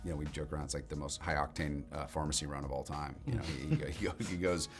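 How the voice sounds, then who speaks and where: An actor chats easily through an interview, loose and slangy, with plenty of fillers. His voice is very deep, and faint music sits in the background. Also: quick pace. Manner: laid-back, loose slangy talk with lots of fillers. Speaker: lead actor. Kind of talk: casual interview answers.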